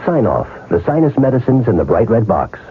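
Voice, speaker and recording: A man's voice: on the low side, very animated, a little fast. A noisy, narrow-band 1970s radio recording of a male announcer. He reads scripted ad copy with a lot of up and down in his voice. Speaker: commercial announcer.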